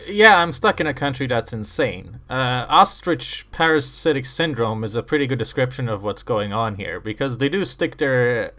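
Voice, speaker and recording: A man from Bosnia hosting an interview, asking calm, measured questions. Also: mid-range voice. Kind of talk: conversational interview.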